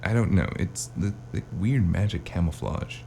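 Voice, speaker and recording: A man playing a wry, weary hard-boiled investigator who narrates and talks in a cyberpunk noir audio drama, with a deep gravelly voice. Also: lively intonation, moderate pace, dark tone, slight background noise. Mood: sheepish, casual. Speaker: narrator and lead character.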